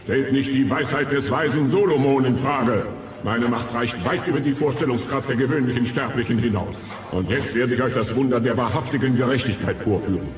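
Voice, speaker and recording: A man's voice: medium pitch, a little fast, lightly acted. A man voicing a solemn, imperious wise dinosaur magician, pompous and formal, in a cartoon audio drama. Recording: echoing voice over music.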